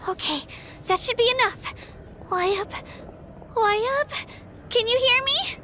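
A woman's voice: very high-pitched, slow, bright, forceful tone, a little hiss or hum, heavily acted. A woman voicing an earnest, sweet, determined little girl in an anime game, with a childlike manner. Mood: earnest, hopeful.

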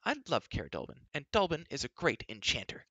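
A man's voice: medium pitch, big pitch swings, brisk, pushed and bright, no echo, voice pushed up, heavily acted. A man voicing a proud, stubborn young princess in a storybook reading. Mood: indignant. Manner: indignant and defiant.

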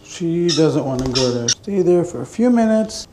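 A man's voice: a little high, moderate pace, background noise. A young man filming a jokey handheld travel vlog, laughing and chattering as he moves through airports. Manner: excitable, laughing, joking. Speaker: vlogger. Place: airports and a train. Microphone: action camera held close.

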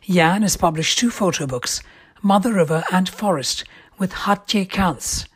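A woman's voice: fairly low pitch, measured pace, slightly rough. A woman from India hosting an interview podcast, asking curious follow-up questions and often repeating words as she goes. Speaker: podcast host.

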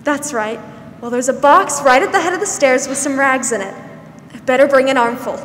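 A young woman playing a warm, pleasant, fussing woman of sixty in a 1940s-style radio drama on stage. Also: high-pitched, normal speed. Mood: warm, practical. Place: large theatre stage, indoors. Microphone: stand microphone, masked. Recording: echoey stage hall, faint noise.

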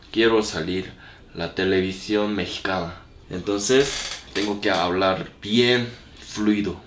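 Young man, Korean native, unhurried vlog chatter in simple Spanish, slight cough; echoey room with noise. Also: fairly low pitch. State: lingering cough. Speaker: vlogger. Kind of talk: casual vlog narration while cooking. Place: small apartment room.

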